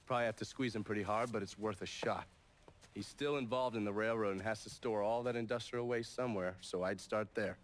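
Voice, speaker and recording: An actor playing a world-weary, wisecracking government agent in a video game, with an American accent and a dry, profane delivery. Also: male voice, lowish voice, steady tone, a little fast, natural voice. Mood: sardonic. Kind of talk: dramatic video game cutscene acting.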